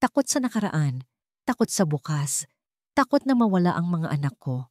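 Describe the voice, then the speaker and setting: Narrator as heartfelt, forgiving bride. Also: female voice, lowish voice, very animated, normal speed, a little mellow, dry recording, clean studio sound. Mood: tender, heartfelt. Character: tender, forgiving bride and mother.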